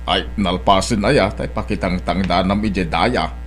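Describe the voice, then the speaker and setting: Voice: deep-voiced man playing kindly older father. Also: a little slow, a bit bright, slight background noise. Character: kindly older rural father and farm employer. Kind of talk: Ilocano audio drama acting.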